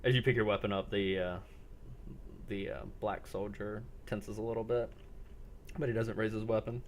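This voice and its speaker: Low-voiced man, halting game master. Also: little pitch movement, a little hiss or hum. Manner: halting, descriptive, pausing between phrases. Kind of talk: narrating an improvised roleplay game.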